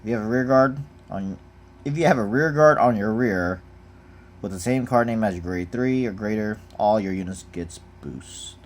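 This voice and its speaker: A man's voice: lowish voice, moderate pace. A man talking casually and off the cuff as he reviews trading cards, laid-back in manner, reading out text and giving brief verdicts. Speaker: card game unboxing vlogger.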